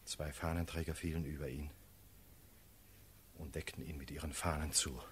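An actor playing a grave cavalry officer, giving a solemn, measured verse report in a classical radio play. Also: male voice, rumbling low, steady tone, a little hiss or hum.

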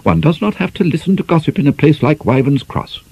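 A man's voice: fairly high pitch, very animated, fairly quick, darkish tone. A man reading a novel as a scripted audiobook narration. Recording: slightly dull, band-limited audio.

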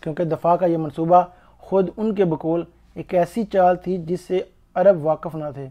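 A man's voice: high voice, fairly even intonation, normal speed. A man reading a scripted account of religious history in a formal, earnest storytelling manner. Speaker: narrator. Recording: dull, muffled lo-fi voice-over.

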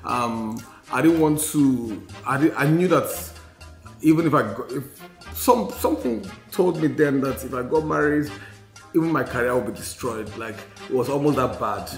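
A Nigerian-accented man in his forties shares candid personal memories at a mid-range pitch. He speaks reflectively and repeats phrases to stress them. The room is audible around his voice, with faint music underneath. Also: normal speed. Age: 40s. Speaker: podcast co-host. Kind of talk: candid personal storytelling in a podcast conversation. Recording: roomy sound with faint music.

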